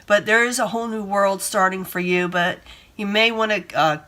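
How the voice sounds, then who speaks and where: A woman reading tarot cards in a casual, rambling way, hoarse from a cold and breathing hard. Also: mid-range voice, moderate pace, bright, forceful tone. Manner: rambling, repeats herself, gives advice. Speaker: tarot reader.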